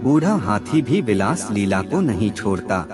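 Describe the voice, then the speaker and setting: A man reading aphorisms as scripted narration, in a measured, formal reciting manner. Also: on the low side, sing-song. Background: background music.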